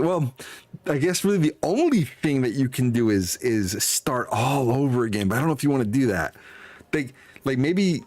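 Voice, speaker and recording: A man livestreaming a game, chatting casually with rambling, teasing commentary. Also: mid-range voice. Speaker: game streamer. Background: game music.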